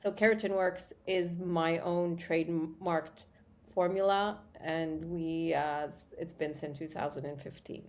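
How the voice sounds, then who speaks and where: A woman from Morocco speaks as a guest on an interview show. Her voice sits fairly low. She tells her story in a chatty way and often breaks off to restart a sentence. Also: fairly even intonation, a little slow. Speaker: keratin product founder, guest. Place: Montreal.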